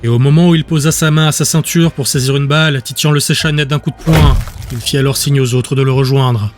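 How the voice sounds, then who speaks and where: A male narrator reads scripted fiction for an audiobook. His voice sits at an average pitch, and his delivery is measured and descriptive. Faint music runs behind him. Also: fairly even intonation. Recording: music under the voice.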